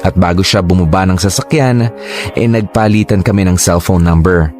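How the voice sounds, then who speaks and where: A man's voice: low-pitched, moderate pace, darkish tone, faint music behind. A man in his late twenties telling his story in a confiding, candid way. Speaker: narrator. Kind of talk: scripted storytelling.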